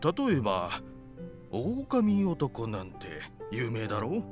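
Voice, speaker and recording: An actor playing a werewolf disguised as a security guard, cautioning in an ominous way in a visual novel. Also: male voice, mid-range voice, huge pitch swings, moderate pace, over music. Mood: friendly warning. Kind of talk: Japanese visual novel acting.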